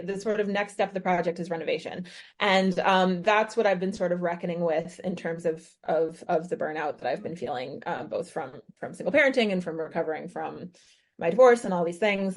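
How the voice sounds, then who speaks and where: A woman's voice: fairly low pitch, darkish tone. An American woman in her thirties, a guest on a podcast, talking thoughtfully with frequent restarts. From United States. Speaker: guest, author and retreat host. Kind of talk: casual podcast interview. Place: her home in rural Ireland.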